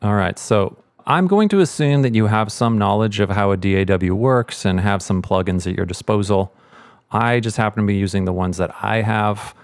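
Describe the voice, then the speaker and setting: A man's voice: lowish voice, moderate pace, no room sound, studio quality. A middle-aged man hosting a casual audio tutorial, explaining things as a sound mixer would. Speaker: host, sound editor and mixer.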